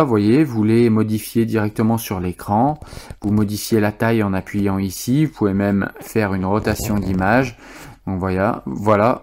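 A man's voice: lowish voice, darkish tone. Informal man presenting a tutorial. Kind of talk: informal software tutorial, demonstrating step by step.

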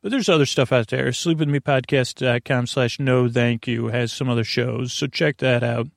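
A man with a creaky voice hosting a bedtime podcast in a meandering, halting, earnest monologue that keeps interrupting itself. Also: medium pitch, fairly even intonation, dry recording, clean studio sound. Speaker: podcast host.

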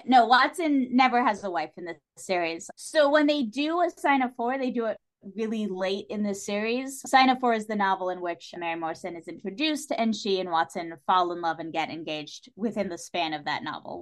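A woman speaking as a podcast guest in casual, animated chat, giving her views informally and with emphasis. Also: medium pitch, normal speed, hardly any pauses. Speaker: podcast guest discussing a TV series.

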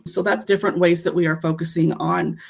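A woman speaks as a guest fundraiser giving an update on a podcast. Her voice has a lot of vocal fry. She is earnest and conversational. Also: fairly low pitch, steady tone, brisk. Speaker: guest charity fundraiser and volunteer.

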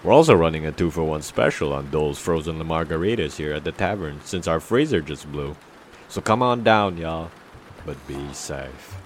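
An actor playing a grave, folksy tavern keeper speaking on a radio show in an audio drama. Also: male voice, extremely low pitch, expressive, moderate pace, a little hiss or hum. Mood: folksy pitching. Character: male tavern keeper calling in an eerie creature sighting.

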